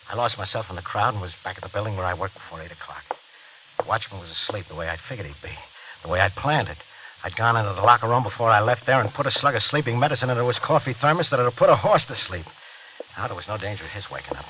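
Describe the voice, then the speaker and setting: A man's voice: on the low side, animated, quick pace, close to an everyday voice. An actor playing a nervous, scheming repairman with an American accent and a stammering, confiding manner, in a 1940s radio thriller. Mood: tense, confiding. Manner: stammering, jittery, confiding in narration. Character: nervous guilty night-shift teletype repairman, scheming and evasive. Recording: muffled, noisy old radio recording.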